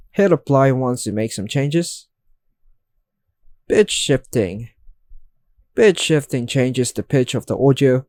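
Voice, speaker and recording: A man's voice: mid-range voice, darkish tone, no echo, clean studio sound. A man reading a scripted how-to narration in an instructional, step-by-step manner, in short separated phrases. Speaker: tutorial narrator.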